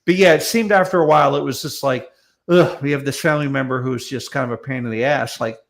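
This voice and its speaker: A man with a creaky, medium-pitched voice talks casually as a show panelist. He rambles along in a wry, informal way. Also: fast talker. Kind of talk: casual chat.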